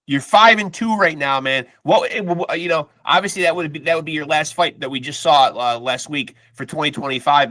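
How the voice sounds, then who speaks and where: A man's voice: a little high, bright, forceful tone, dry recording. A man hosting a boxing podcast, asking questions in a laid-back way, stammering on restarts and calling his guest "man.". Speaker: podcast host. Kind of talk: casual interview.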